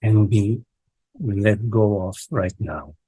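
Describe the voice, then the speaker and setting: A man leading a guided meditation as a teacher, speaking in halting short phrases with long contemplative pauses and a husky voice. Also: on the low side, warm tone, poor audio. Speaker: meditation teacher leading a live online session.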